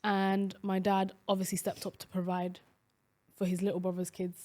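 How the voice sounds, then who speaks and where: A woman's voice: medium pitch, completely flat delivery, normal speed, mellow. Chatty young woman with many fillers. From Somalia. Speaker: podcast co-host. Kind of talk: casual podcast chat.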